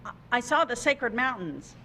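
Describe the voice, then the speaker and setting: A woman's voice: on the high side, sing-song, moderate pace, bright, forceful tone, slight background noise, exaggerated voice. A woman doing a small eager storybook mouse. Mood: excited. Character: curious eager little male mouse. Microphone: video call.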